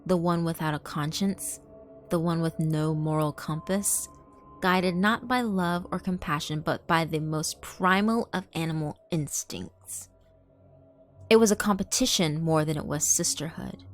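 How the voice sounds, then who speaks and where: A woman narrating a first-person horror story in a measured, dark way, with hushed asides. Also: sits low. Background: faint background music.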